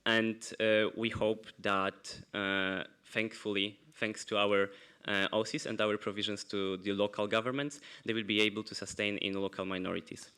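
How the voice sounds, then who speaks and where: A young man answering in a formal debate at a youth assembly, pausing often on fillers. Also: fairly low pitch, little pitch movement, pushed and bright, clear, resonant tone. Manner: hesitant, many filler pauses. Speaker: committee delegate answering a speech. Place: large assembly hall.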